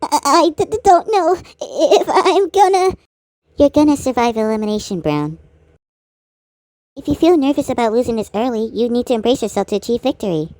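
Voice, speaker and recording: Woman, amateur cartoon voice acting, high voice, theatrical, dry close sound. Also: expressive, normal speed, a little mellow, no echo.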